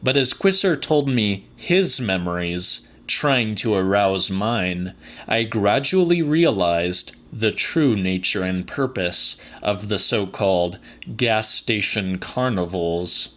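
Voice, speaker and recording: Male audiobook narrator, low pitch, slow measured delivery, bright forceful tone, literary and dramatic. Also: lively intonation.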